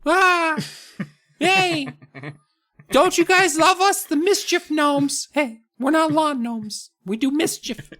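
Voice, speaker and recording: A woman's voice: sits high, slow pace, a bit bright, voice pushed up. A woman voicing a male mischief gnome who boasts in a sing-song way and keeps repeating himself. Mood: boastful.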